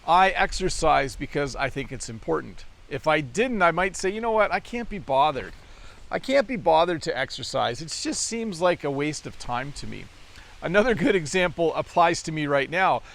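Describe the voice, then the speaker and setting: Gravelly Canadian man teaching English. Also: fairly high pitch, moderate pace. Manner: relaxed, friendly explaining with casual fillers. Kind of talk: English lesson.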